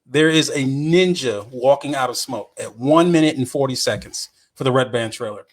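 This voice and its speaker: A man co-hosting a podcast, chatting casually and explaining things haltingly, often repeating himself. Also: medium pitch. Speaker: podcast co-host. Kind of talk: casual chat, explaining game trivia.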